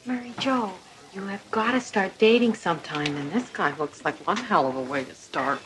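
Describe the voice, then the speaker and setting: A woman's voice: medium pitch, lively intonation, normal speed, natural voice. An actress playing a frank, coaxing woman friend who pushes for romance in a television drama. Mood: urging. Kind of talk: naturalistic television drama dialogue. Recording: TV soundtrack with clear background noise.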